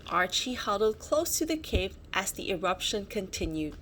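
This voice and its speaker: A woman reading a children's picture book aloud, dramatic and expressive, adding little asides as storyteller. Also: medium pitch, moderate pace. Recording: faint background noise.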